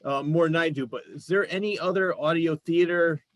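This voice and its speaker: A man's voice: on the high side, a little fast, dry recording. A man hosting a casual interview show, talking in an enthusiastic, complimentary way. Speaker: show host. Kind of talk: casual chat, interviewing guests.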